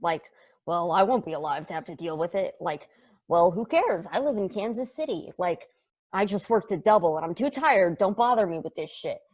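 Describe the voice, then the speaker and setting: A woman's voice: lowish voice, lively intonation, moderate pace, a little mellow. A woman playing a brash, irreverent, joking space probe in a stage play table read, talking in casual, sweary slang. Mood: wistful, sardonic.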